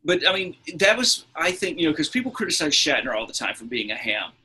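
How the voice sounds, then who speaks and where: Raspy, bright-voiced man telling stories. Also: a little high, quick pace. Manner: animated storyteller who acts out quotes. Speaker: podcast co-host. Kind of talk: casual chat, film anecdotes.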